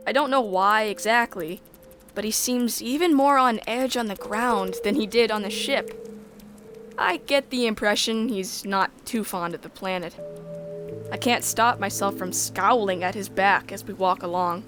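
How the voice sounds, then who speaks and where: A woman narrating a sci-fi visual novel in the first person, measured and descriptive. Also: mid-range voice, over music.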